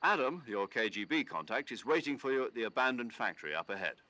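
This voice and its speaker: An actor playing a middle-aged military commander in a video game, briefing an agent in an authoritative, precise and expressive way. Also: male voice, medium pitch, fast talker. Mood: brisk, businesslike. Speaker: mission commander.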